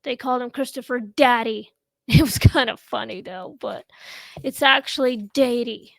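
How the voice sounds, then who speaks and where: High-pitched woman in her 30s, from russia, talks real slow and halting, dry close sound. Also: gravelly, a bit bright, no echo. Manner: slow, halting, with many pauses and fillers. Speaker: host. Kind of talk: casual chat. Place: at home.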